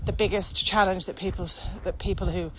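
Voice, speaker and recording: A woman expert in virtual training explaining her work enthusiastically, speeding up when excited, with many ums. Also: on the low side, brisk. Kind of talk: casual explanatory chat. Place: outdoors by a road. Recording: noisy outdoor recording with traffic.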